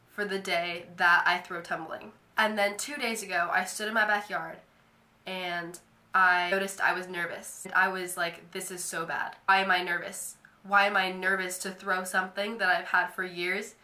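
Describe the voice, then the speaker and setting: A woman's voice: medium pitch, sharp tone, roomy sound. A young woman vlogging to camera from her bedroom, talking casually with many "like" fillers, a little nasal from a cold. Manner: chatty, lots of "like" fillers. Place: bedroom, indoors.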